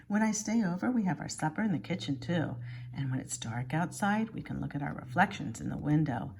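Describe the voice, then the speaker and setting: A woman's voice: deep voice, animated, fairly quick, creaky, a little mellow, slight background noise. A woman reading a children's picture book aloud like a schoolteacher, expressively and with exaggerated emphasis.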